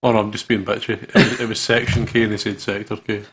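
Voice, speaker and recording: A man's voice: on the low side, little pitch movement, fast talker, background noise. A man hosting a gaming video, reading story narration aloud dramatically and dropping into casual asides.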